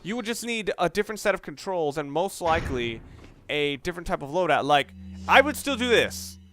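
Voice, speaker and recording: Guy doing lets play commentary, kinda high voice, rambly and sweary, noisy home recording. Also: big pitch swings, a little fast, a bit bright. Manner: informal, opinionated, mild swearing. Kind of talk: casual rambling video game commentary.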